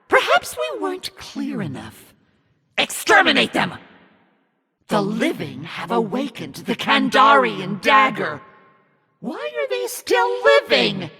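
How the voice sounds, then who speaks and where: A man voicing a menacing, scornful demonic narrator in a video game, commanding and mocking in a theatrical, raspy delivery. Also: high-pitched, pushed and bright, full character voice. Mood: angry, commanding. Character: demonic evil narrator, menacing, scornful, imperious servant of darkness. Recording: long reverb over music.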